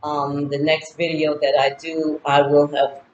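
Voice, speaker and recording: A woman's voice: deep voice, level tone, measured pace, darkish tone, muffled recording, slight background noise. Chatty woman in her sixties, roomy echo. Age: 60s. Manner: chatty, rambling, lists goals with many fillers. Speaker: vlogger. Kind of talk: casual birthday monologue to camera. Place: home in Ghana. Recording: roomy echo with faint ambience.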